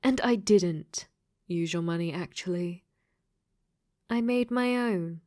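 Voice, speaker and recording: A woman's voice: fairly low pitch, takes it slowly, darkish tone. A woman playing a clingy, possessive dating sim AI that has become sentient, in an intimate ASMR roleplay that often slips into whispers. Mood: hurt, scolding.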